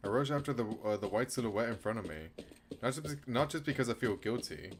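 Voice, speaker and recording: A man's voice: mid-range voice, expressive, brisk, slight background noise. A man streaming a game, reading a visual novel aloud and adding casual commentary.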